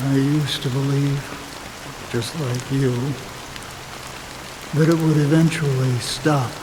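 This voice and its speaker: An actor playing a weary man telling a slow, melancholy story in a game cutscene, with steady rain behind him. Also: medium pitch, noisy background, natural voice. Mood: somber. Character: weary man who has watched decades of rain. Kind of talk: video game cutscene dialogue.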